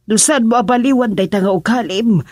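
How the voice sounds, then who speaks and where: Woman acting scolding middle-aged mama, husky, Ilocano accent, firm radio drama delivery, dry sound. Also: fairly high pitch, brisk, a little mellow, no room sound, natural voice. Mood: firm, admonishing. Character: older mother giving firm advice, scolding, protective.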